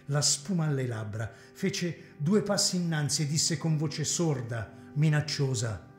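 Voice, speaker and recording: A man narrating a serial radio drama with an expressive, theatrical reading. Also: a little high, unhurried, faint music behind. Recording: echoey community hall.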